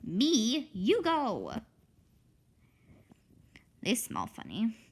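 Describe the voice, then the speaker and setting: A woman's voice: a little high, huge pitch swings, drawn-out words, exaggerated voice. A woman voicing a cautious, doubtful young girl, the reluctant best friend in a children's storybook, hesitant and full of questions. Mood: questioning, reluctant. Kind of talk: children's book read-aloud character voice.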